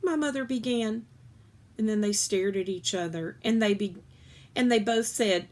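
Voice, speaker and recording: Female reader, children's fiction read-aloud. Expressive storytelling delivery, medium pitch, natural small slips. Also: normal speed. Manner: expressive, acts out dialogue, occasional stumbles and self-corrections.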